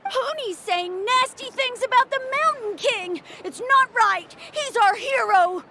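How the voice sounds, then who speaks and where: A woman's voice: very high voice, expressive, measured pace, very noisy, full character voice. A woman voicing an earnest, loyal, easily hurt young boy in a video game. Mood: indignant.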